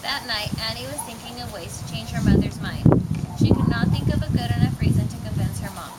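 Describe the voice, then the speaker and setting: A woman's voice: a little high, fairly quick. A woman narrator reading a scripted children's story aloud. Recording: very noisy amateur recording.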